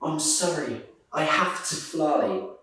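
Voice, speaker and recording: A man's voice: a little high, lively intonation, slow, sharp tone, exaggerated voice. A man voicing a small, wistful female misfit dog from a children's storybook, speaking in earnest rhyme. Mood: apologetic. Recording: roomy echo, distant microphone.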